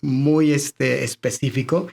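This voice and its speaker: A man's voice: medium pitch, fairly even intonation, slow, vocal fry, a little mellow. A man, a church leader appearing as a guest, explaining things casually in a deferential, agreeable way.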